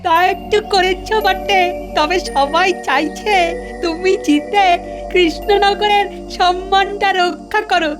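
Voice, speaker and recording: A woman's voice: extremely high pitch, steady tone, slow pace, over music. A woman voicing a curious, chatty young grandchild in a cartoon. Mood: curious, teasing. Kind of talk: Bengali cartoon dialogue.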